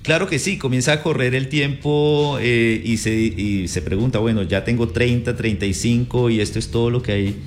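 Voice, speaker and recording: A man speaking as a psychologist guest on a morning radio show, explaining calmly and step by step. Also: medium pitch, moderate pace, hardly any pauses, a little hiss or hum. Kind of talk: calm explanatory radio interview.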